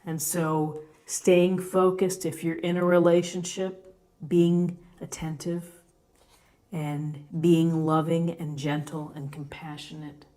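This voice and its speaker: A woman giving an unscripted tarot reading, explaining the cards with gentle encouragement and deliberate pauses between phrases. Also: low-pitched, flat delivery. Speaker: tarot reader, host.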